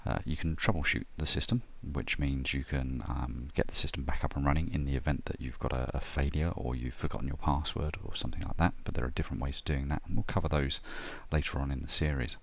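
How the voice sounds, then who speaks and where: A middle-aged man talking through a software install, step by step, with frequent ums and uhs. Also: a bass voice, quick pace, gravelly, few pauses. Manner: steady step-by-step explaining with frequent "uh" and "um". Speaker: software tutorial presenter. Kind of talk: screen-recorded tutorial narration.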